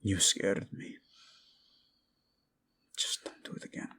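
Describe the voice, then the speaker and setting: A man's voice: slow, sharp tone. A man playing a proud, vengeful young king, fiercely protective of his wife, in a dramatic romance audio roleplay. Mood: tender, soothing. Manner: brooding, commanding, tender in endearments.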